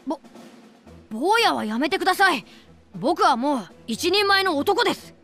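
A woman's voice: sits high, sing-song, measured pace, pushed and bright, faint music behind, exaggerated voice. A woman voicing an eager, easily flustered boy soldier in a video game, earnest and prone to stammering. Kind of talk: video game cutscene acting.

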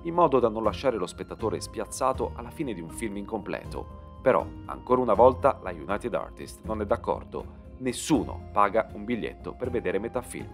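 A man's voice: fairly low pitch, big pitch swings, moderate pace. A man narrating a scripted video essay with emphatic, dramatic phrasing. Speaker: narrator and channel host. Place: voice-over recording. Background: background music.

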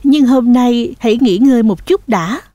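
A woman voicing a caring, firm mother goat in a children's cartoon, explaining things in a measured manner. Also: fairly high pitch, mellow, no echo, natural voice. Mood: calm, explaining. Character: calm, firm mother goat, caring teacher of her kids.

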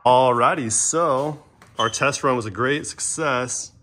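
A man's voice: medium pitch, slow, pushed and bright. An American man hosting a casual how-to vlog with a friend, talking in slangy bro style as he works in a garage. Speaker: vlog host. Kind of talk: casual how-to chat with a friend while working. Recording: clear ambient noise behind handheld camera sound.

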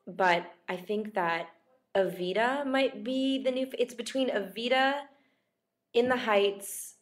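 A woman's voice: lowish voice, creaky. A young woman who is losing her voice, chatting casually on a livestream and reading out viewers' questions in a self-deprecating way. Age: young adult. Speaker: actress chatting with fans on a livestream. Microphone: laptop webcam at close range.

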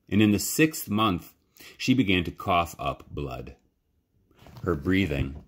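A man with a low, deep voice narrates a children's story. He reads expressively and in a measured way, leaving dramatic pauses between phrases. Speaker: library storytime reader.

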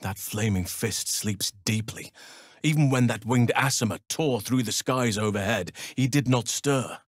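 A man voicing a stoic, earnest druid devoted to nature in a video game, speaking in measured, formal fantasy phrasing. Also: mid-range voice, no echo, studio quality, natural voice. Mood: calm, grave. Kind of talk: video game character voice lines.

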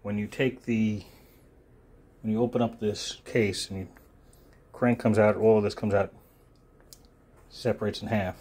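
A man giving a casual, unscripted product demo in an offhand, halting way, thinking aloud as he goes. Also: fairly low pitch, steady tone, darkish tone, husky. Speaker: presenter of a gadget demonstration. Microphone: phone held near the hands filming.

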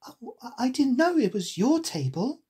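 A woman plays a prim, curious little girl from a storybook. The voice is lifted high and swings freely in pitch, earnest and quick to take offence, with a slightly husky edge. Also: sing-song, warm tone, exaggerated voice. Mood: indignant.

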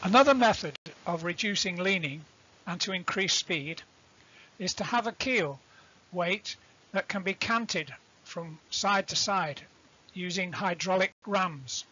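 A man giving an explanatory lecture as an instructor, deliberate and didactic, defining terms as he goes. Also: sits high, pushed and bright, highs cut off. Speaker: instructor presenting a sailing tutorial.

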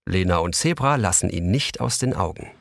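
A man's voice: low-pitched, expressive, fairly quick, vocal fry. A man narrating a scripted children's story as the narrator of an audio drama.

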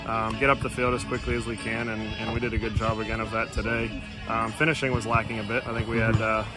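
A man in his thirties, a soccer coach giving casual, measured post-match answers outdoors, repeating words as he gathers his thoughts. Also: on the low side, flat delivery, over loud music. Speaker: high school soccer coach. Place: outdoor stadium trackside.

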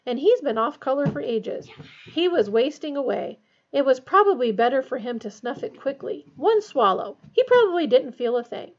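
Woman playing breezy, teasing teenage older brother, husky. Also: on the high side, very animated, moderate pace, hardly any pauses, muffled recording. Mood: bracing. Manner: bracing, teasing.

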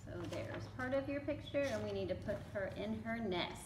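A woman's voice: medium pitch, expressive, normal speed. A middle-aged woman giving children a simple, casual step-by-step craft demonstration at a library. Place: library room with bookshelves, indoors. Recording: echoey room with clear background noise.

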